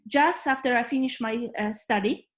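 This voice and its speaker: A woman from Poland with a Polish accent, a guest in a casual interview, speaking earnestly and often pausing with fillers. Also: a little high. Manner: many fillers and restarts, laughs easily, warm and earnest.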